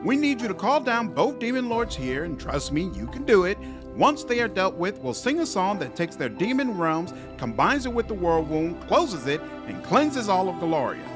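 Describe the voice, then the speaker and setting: Cocky, high-swinging fantasy hero. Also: male voice, sing-song, moderate pace, music in the background. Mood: brash, coaxing. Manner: brash, casual, coaxing. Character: cocky, flippant, persuasive young hero son. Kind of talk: animated game voice acting.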